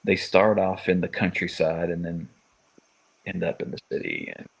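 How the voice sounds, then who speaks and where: A man's voice: deep voice, very animated, moderate pace, gravelly, slightly rough, low-quality recording. A man in his forties from Kentucky, co-hosting a casual live-stream chat and rambling with many restarts. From Louisville, Kentucky, USA. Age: 40s. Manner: rambling, stop-start phrasing with many restarts. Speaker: podcast co-host.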